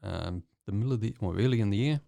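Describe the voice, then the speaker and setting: Low-voiced New Zealand man. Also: fast, vocal fry, dry recording, studio quality. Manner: relaxed, hesitant with many ums, chuckles often. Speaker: guest artist. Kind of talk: casual interview chat.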